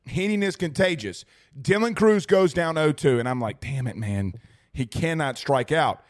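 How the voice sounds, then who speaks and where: A young man hosting a post-game sports livestream, hoarse and losing his voice, excitable and rambling as he reads viewer messages. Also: fairly high pitch, normal speed. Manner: excitable, rambling, repeats himself. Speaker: sports talk show host. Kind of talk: excited post-game livestream chat reading super chats.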